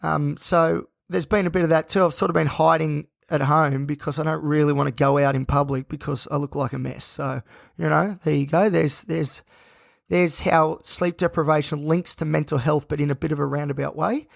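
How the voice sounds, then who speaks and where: A man hosting a solo podcast, talking in a rambling, tired, self-reflective way with a croaky, hoarse voice. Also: on the high side, steady tone, moderate pace, dry recording, clean studio sound. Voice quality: gravelly. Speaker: podcast host.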